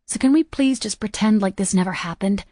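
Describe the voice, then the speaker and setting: A woman's voice: mid-range voice, brisk, dark tone, no echo, studio quality, lightly acted. A woman voicing a prickly, self-conscious young woman in an audiobook. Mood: huffy. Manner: huffy, defensive.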